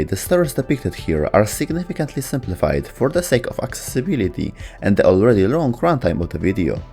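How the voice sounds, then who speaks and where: A man's voice: fairly low pitch, darkish tone. A man reading scripted narration for a video game lore explainer. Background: music.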